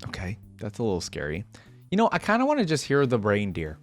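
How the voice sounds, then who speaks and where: A man hosts a casual reaction video, talking straight to his viewers in a chatty, animated way. His voice sits a little low but swings widely in pitch as he reacts. Music plays faintly behind him. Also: quick pace. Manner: animated and chatty, addresses viewers, laughs often. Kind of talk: casual chat reacting to game audio.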